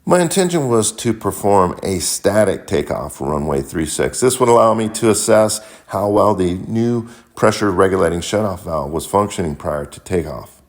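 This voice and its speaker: Male narrator, low-pitched, delivering careful step-by-step instructional voice-over as an experienced pilot. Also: expressive. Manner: measured, methodical, instructional. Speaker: pilot and flight mentor, video host. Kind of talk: scripted explanatory narration.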